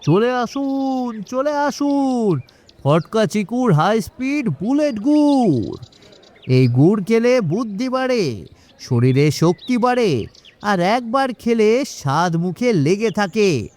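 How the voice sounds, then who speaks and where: A man's voice: high-pitched, sing-song, takes it slowly, darkish tone, heavily acted. A man voicing a sly, boastful swindling salesman in a children's cartoon, brash and wheedling. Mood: hawking loudly. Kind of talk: cartoon story voice acting.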